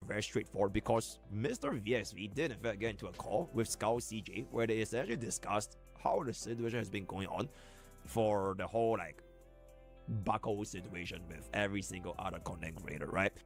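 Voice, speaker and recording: A man's voice: on the low side, a little fast, soft background music. A man giving casual commentary, often checking for agreement as he talks.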